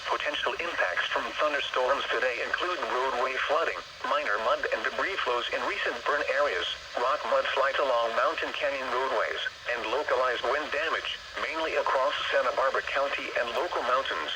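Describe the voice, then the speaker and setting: Noisy radio, formal American male announcer. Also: mid-range voice. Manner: formal, measured automated announcing. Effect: weather radio broadcast. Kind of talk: scripted weather bulletin reading. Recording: noisy weather radio reception.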